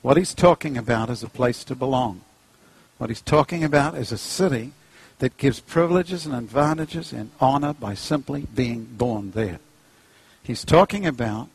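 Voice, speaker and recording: Man, New Zealand accent, middle-aged preacher, husky, jokey and animated, dry close sound. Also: dry recording, poor audio. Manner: jokey, acts out characters, pitch leaps high. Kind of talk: animated sermon with humor.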